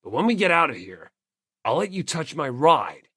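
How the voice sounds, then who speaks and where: A performer voicing a brash, gruff teenage male biker gang leader in an audiobook, blunt, proud and slangy. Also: mid-range voice, very animated, moderate pace, sharp tone, no room sound, clean studio sound, exaggerated voice. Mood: earnest, boastful. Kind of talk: audiobook character acting.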